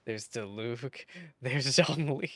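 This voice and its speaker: A woman's voice: a bass voice, slow, fairly bright tone, no echo. A woman live streamer giving casual, chatty, slangy reaction commentary during a game playthrough.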